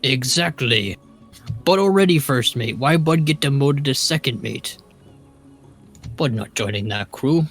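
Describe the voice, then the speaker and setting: A man's voice: mid-range voice, animated, unhurried, long pauses, faint music behind. Man playing a simple-minded, childlike adventurer, broken grammar. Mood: indignant. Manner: broken grammar, refers to self by name. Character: simple-minded, childlike, earnest male adventurer speaking in third person. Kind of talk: tabletop roleplay in character.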